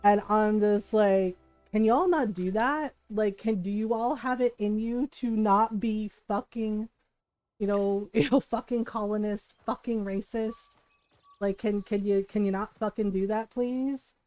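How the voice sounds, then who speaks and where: Mellow-voiced, candid woman streamer over music. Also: mid-range voice, measured pace, no room sound. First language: grew up speaking Tagalog, English and Spanish. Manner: candid, sweary, animated storytelling with frequent chuckles. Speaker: live streamer. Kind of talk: casual chatty game stream.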